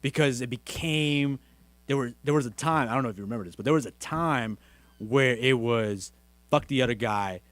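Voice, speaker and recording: A man's voice: moderate pace, a bit bright, no room sound. A young man, hosting a podcast in casual, rambling, jokey chat. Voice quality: deep voice. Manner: rambling, jokey, many fillers. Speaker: podcast host.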